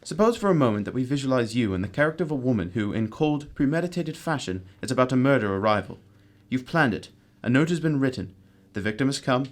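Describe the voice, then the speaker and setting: A man playing a composed, analytical gentleman detective in a radio drama, deliberate, courteous and authoritative. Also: mid-range voice, lively intonation, natural voice. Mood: assured, deductive.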